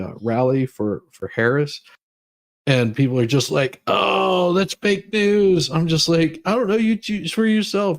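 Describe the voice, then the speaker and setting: A man hosting a casual livestream, chatting to viewers in a rambling, halting way that often repeats words. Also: on the high side, no echo. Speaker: livestream host. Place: room at home.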